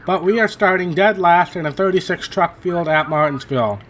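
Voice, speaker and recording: A man's voice: sits high, moderate pace, fairly bright tone. A man hosting a racing game playthrough in casual, rambling commentary, his voice worn from hours of talking. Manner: rambling, self-correcting, chatty. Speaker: gaming channel host.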